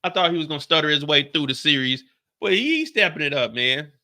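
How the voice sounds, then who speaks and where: A man riffs loosely on an episode as he watches, slangy and wry, with a slight stutter now and then. His voice sits a bit high and bright, with lively swings in pitch. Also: a little fast. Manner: slangy, wry, talks to the screen, mild stutter. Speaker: reaction video host. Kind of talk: casual reaction commentary.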